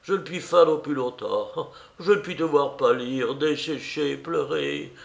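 A man's voice: on the high side, a little slow, creaky, voice pushed up. A man voicing an agitated, affectionate and blunt old aunt in an audiobook, scolding and fussing with emphatic exclamations. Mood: distraught, indignant. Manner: exclamatory, repeats words emphatically. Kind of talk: dramatised audiobook reading.

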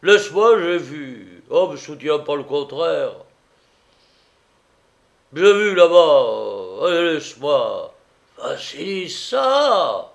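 A drunken master of the house, pitched up and loud. He stammers through slurred consonants, swinging between maudlin self-pity and mock dignity as he plays the magnanimous lord. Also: lively intonation, slow, vocal fry, exaggerated voice. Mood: agitated, insistent.

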